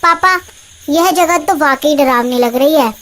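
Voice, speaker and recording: Woman as uneasy girl, very high pitch, scripted horror cartoon. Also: measured pace, fairly bright tone. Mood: frightened. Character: uneasy young daughter in a horror tale. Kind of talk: scripted animated horror story reading.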